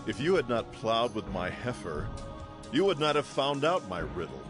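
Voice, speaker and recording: Male actor as headstrong young ancient hero for Bible audio drama; deep-leaning, animated, formal, music underneath. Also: normal speed, close to an everyday voice. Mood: defiant. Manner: commanding, archaic formal phrasing. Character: headstrong young Israelite strongman, brash and proud.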